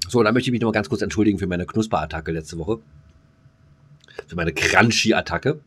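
A man's voice: low voice, brisk, fairly bright tone, husky. A man co-hosting a podcast in casual comedic banter, rambling and joking crudely. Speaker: podcast co-host.

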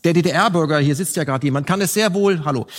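A man speaking as a talk show guest, arguing his opinions emphatically with rhetorical questions and halting self-corrections. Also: fairly high pitch. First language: German. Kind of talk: opinionated panel discussion. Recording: reverberant hall.

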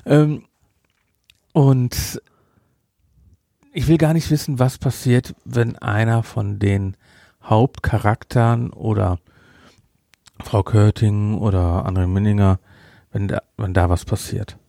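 Man with a gravelly, mellow voice. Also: on the low side, steady tone, slow, long pauses, no echo, clean studio sound. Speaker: podcast host. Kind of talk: casual review chat.

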